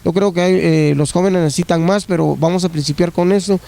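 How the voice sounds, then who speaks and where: A man's voice: sits high, fairly even intonation, brisk, creaky, warm tone. A man from Guatemala, a municipal youth coordinator, answering an on-site interview at a stadium in a hesitant way, with many fillers and restarts.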